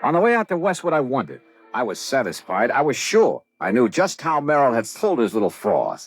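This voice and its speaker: An actor playing a shrewd, brisk insurance investigator in an American 1950s radio detective drama, clipped and self-assured. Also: male voice, mid-range voice, huge pitch swings, soft background music. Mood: wry narration. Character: shrewd, brisk male insurance investigator narrating his case. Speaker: lead actor and narrator.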